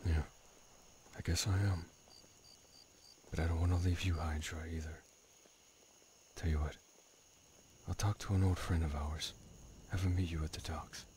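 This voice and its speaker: Man playing a very deep, weary retired killer. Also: flat delivery, moderate pace, long pauses, darkish tone, close to an everyday voice. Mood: reflective. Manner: subdued, reflective, at times near whispering. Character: weary retired killer, a gruff devoted father. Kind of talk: dramatic game dialogue. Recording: noticeable background noise.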